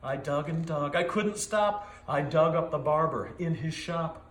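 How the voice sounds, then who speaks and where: A man voicing a big, eager, clumsy dog telling his own story in an expressive, rhythmic storybook read-aloud with a roomy echo. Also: on the high side, a little slow, slight background noise. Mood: excited, proud. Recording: echoey, roomy sound.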